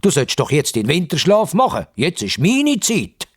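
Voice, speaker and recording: A stern old Saint Nicholas acted by a man with a Swiss German accent. The voice is deep and very husky, imposing and admonishing, as in a children's radio play. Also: wildly swooping pitch, normal speed, heavily acted.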